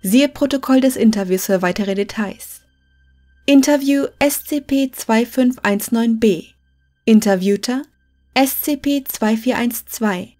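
A woman's voice: on the high side, measured pace, a little mellow, faint music behind. A woman narrating a scripted fictional case file in a formal, report-like manner.

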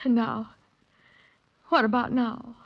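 A woman's voice: fairly high pitch, fairly even intonation, takes it slowly. An actress playing an anxious, protective woman who pleads in 1940s radio melodrama style. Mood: shaken. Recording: dull 1940s radio recording.

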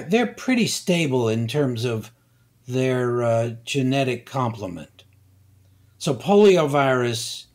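Slow, deliberate older man explaining. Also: mid-range voice. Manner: slow, deliberate, many hesitations. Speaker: guest scientist. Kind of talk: conversational science interview.

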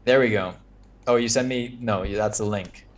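A man's voice: lowish voice, normal speed. A man in his thirties from Mexico, Spanish his first language, giving earnest advice as a guest on a video call from his home office. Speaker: guest architect. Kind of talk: casual video-call chat. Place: home office with framed pictures.

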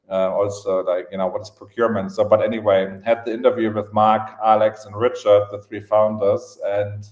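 A man with a German accent telling his story casually as a podcast guest, in halting phrases with repeated words. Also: fairly low pitch, a little mellow. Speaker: podcast guest, events entrepreneur. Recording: roomy, echoing podcast audio.

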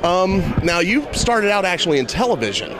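A young man hosting a casual interview at a convention, laid-back and quick with short affirmations, speaking into a handheld mic. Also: high voice, very animated. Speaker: interviewer, horror blog host. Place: convention hall, indoors. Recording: crowd noise of busy convention hall. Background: crowd chatter.

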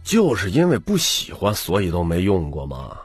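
A man's voice: low-pitched, huge pitch swings, moderate pace, breathy edge, no echo, faint music behind, heavily acted. A man voicing a cheeky young office slacker with brash, wheedling sales patter in an audiobook. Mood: brash, pushy.

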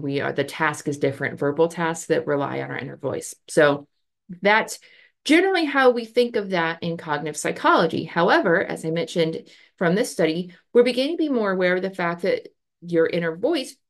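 Lower-voiced woman explaining research casually. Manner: conversational, many fillers, gestures with her hands. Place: home office with bookshelf. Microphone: webcam on a video call.

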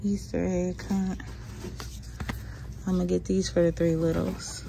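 A woman's voice: fairly low pitch, flat delivery, unhurried, gravelly, background noise. A woman in her thirties vlogging a shopping trip, talking half to herself as she browses a big store on a handheld phone. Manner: muttering to herself while browsing. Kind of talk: casual shop-along commentary. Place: indoors in a large store. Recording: loud store ambience and crinkling packages.

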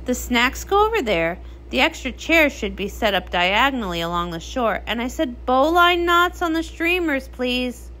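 A woman's voice: high voice, sharp tone, heavily acted. A woman voicing a bubbly, bossy young pony pop princess, perky and emphatic, in a cartoon comic read-along.

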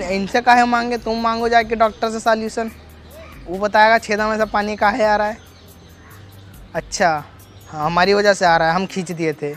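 A young man with a noticeably high voice and a Bhojpuri accent talks in a cheeky, rustic, teasing way, as a prankster chatting on the phone. He is recorded outdoors, with music underneath. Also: fairly bright tone. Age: young adult. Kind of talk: staged loud phone chat for a street prank. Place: outdoors in a leafy park.

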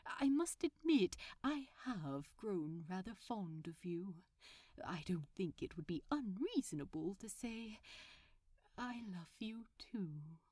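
Casting: genteel male supervillain acted by a woman, bashful and fond, very polite, expressive pitch, storybook dialogue. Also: slow, no room sound, studio quality. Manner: prim, polite, formal diction. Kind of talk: fan fiction audiobook character voice.

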